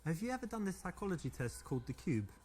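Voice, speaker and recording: A smooth, cocky British charmer in a live-action dating game. He keeps the small talk light and flirty, sure of his own appeal. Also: sits high, very animated, fast, a little mellow, slight background noise.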